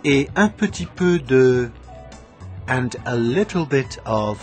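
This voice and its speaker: A man reads a scripted language-lesson voice-over, slow and deliberate. His pitch rises and falls in a lively, teacherly way. Soft music plays underneath. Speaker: course narrator.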